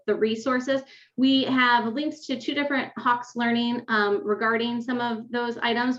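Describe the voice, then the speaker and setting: A woman with a loud voice presenting a webinar on course design, explaining step by step with fillers, on a desk microphone in a home office. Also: a little high, roomy sound. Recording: dull lo-fi webinar audio.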